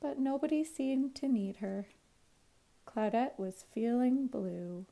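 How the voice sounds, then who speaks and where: A woman, a teacher reading a children's storybook aloud in an expressive, clearly phrased way. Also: on the high side, takes it slowly. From Canada.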